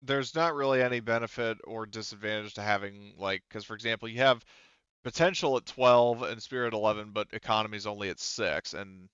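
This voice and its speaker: A man with a low, clean voice, recorded dry and slightly muffled. He works as a game master, talking casually and hesitantly as he thinks through the rules aloud. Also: steady tone, brisk, a bit bright, smooth, no echo. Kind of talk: running a tabletop roleplaying game, casual.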